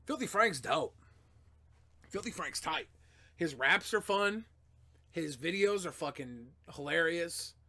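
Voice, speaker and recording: A young man hosting a casual livestream, answering chat questions in a loose, profane way that swings between mumbling and loud outbursts. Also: a little high, lively intonation, slow, long pauses.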